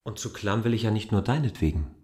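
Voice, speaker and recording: A man's voice: lowish voice, expressive, fast, a little mellow, breathy edge, no echo, clean studio sound. An actor playing a stubborn, brooding newcomer arguing with his lover in a German radio drama, subdued, intimate and insistent. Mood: gentle, resigned.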